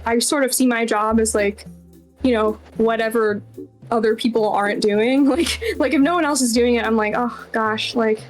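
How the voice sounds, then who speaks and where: A woman's voice: a little high, fairly even intonation, moderate pace, soft background music. A woman being interviewed as a game developer, talking casually and informally in a chatty, self-deprecating way. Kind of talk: casual Q&A chat.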